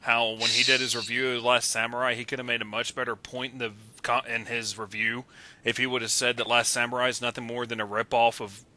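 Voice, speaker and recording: A man's voice: mid-range voice, little pitch movement, brisk, pushed and bright. A man on a voice call, casually giving his opinions on films as a guest commentator. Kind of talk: casual opinionated film discussion. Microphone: voice call on a computer.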